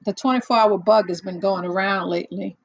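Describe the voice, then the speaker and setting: A middle-aged woman hosting a casual livestream chat, rambling and chatty with lots of fillers and laughs, a little hoarse as she loses her voice. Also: medium pitch, a little fast, dry recording, highs cut off. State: losing her voice, slightly hoarse. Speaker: livestream host. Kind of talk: casual chat with live viewers.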